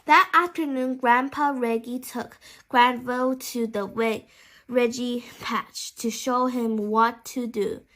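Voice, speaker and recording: A woman reading a children's bedtime story aloud, haltingly, with small stumbles and repeated words. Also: on the high side, fairly even intonation, a bit bright.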